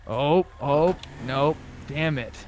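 Man, slightly high voice, casual sweary game chat, faint background noise. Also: slow. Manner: sweary, informal. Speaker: video game player.

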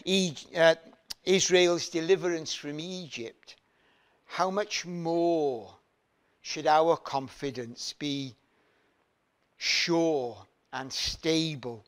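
An older man from Wales preaches from a lectern in a church hall. He talks at an unhurried pace, and his voice sits slightly higher than most men's. He stresses his points by repeating phrases. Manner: slow, emphatic preaching with rising repeated phrases and hand gestures. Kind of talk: sermon.

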